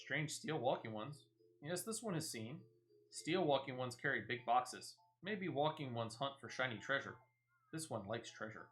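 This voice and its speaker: A timid wild creature from a fantasy game , as a player acts it out, speaks in simple, halting phrases. It is wary and repeats itself, with a lively mid pitch over soft music. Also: lightly acted. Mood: curious. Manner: halting third-person speech, repeating words. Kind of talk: reading game dialogue in character.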